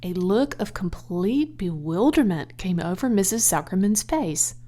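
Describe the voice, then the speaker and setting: A woman narrates a children's audiobook. Her voice sits at a medium pitch, and she tells the story expressively at a slow, measured pace. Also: lively intonation, dark tone. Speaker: audiobook reader.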